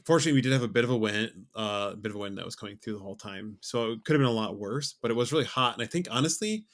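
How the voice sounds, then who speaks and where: A young man co-hosting a podcast, talking in a relaxed, rambling way with many fillers. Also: lowish voice, racing. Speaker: podcast co-host. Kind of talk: casual chat.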